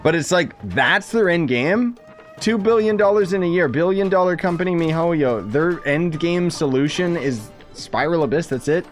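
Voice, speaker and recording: Sardonic higher-pitched man streaming a game. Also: expressive, normal speed. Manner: opinionated, sardonic. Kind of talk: casual live gameplay commentary. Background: game music.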